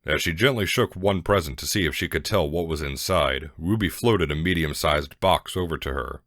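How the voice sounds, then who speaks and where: A male narrator with a remarkably deep voice reads scripted storybook prose. The recording is dry, with no room sound around him. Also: moderate pace, fairly bright tone, dry recording.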